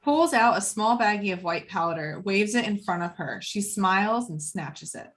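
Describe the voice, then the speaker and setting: A woman's voice: mid-range voice, lively intonation, moderate pace, fairly bright tone. A woman reading screenplay action lines as the narrator of a table read.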